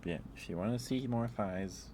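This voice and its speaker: Low-voiced man rambling softly, noisy background. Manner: hesitant, halting, chuckling, apologetic. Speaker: live streamer hosting an ASMR stream. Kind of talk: casual rambling ASMR livestream chat.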